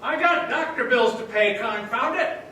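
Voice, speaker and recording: A man's voice: very high voice, lively intonation, normal speed, bright, forceful tone, full character voice. A man playing an angry, stubborn townsman in a staged radio play. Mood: angry, demanding. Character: angry, stubborn townsman demanding his savings. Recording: echoey hall, distant mic, faint noise.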